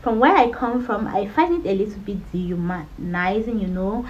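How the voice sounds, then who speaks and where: A woman's voice: mid-range voice, normal speed, a little mellow, lo-fi sound. A woman from Cameroon in her thirties, talking candidly in a personal vlog with a conversational manner. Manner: candid, conversational, frequent "you know". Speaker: vlogger.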